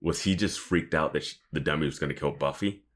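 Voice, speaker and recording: A man's voice: very deep voice, fast, fairly bright tone. A man co-hosting a podcast in casual, joking commentary, riffing with mock quotes and plenty of filler "like". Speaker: podcast co-host.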